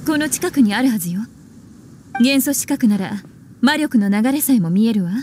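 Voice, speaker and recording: A woman voicing a poised young witch librarian in an anime game, languid and teasing with a soft menace. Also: a little high, lively intonation, moderate pace, a little hiss or hum, close to an everyday voice. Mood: calm, focused. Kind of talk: anime video game voice acting.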